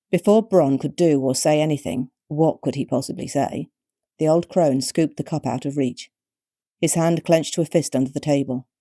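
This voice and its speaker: A British woman narrating her own short story with measured, wryly expressive storytelling. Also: low voice, dry recording, clean studio sound. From Britain.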